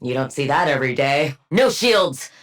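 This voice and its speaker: A woman playing a brilliant, dry-witted scientist villain-antihero in a video game, speaking in a clinical, deadpan and wryly bookish way. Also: very deep voice, normal speed. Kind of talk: video game combat voice lines.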